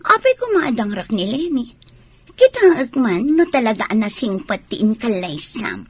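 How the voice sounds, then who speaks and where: A woman's voice: fairly high pitch, big pitch swings, normal speed, breathy edge. A woman acting as a scheming, jealous mistress in an Ilocano radio drama, with a coaxing, gloating delivery. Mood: defiant. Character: scheming mistress, sweet-talking her soldier lover, jealous and sly.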